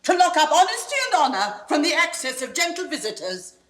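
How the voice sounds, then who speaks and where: An actress playing a proud, sharp-tongued noblewoman in commanding, theatrical Shakespearean style on a vintage recording. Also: sits high, big pitch swings, brisk. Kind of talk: classical Shakespearean stage acting. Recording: echoey vintage recording, faint noise.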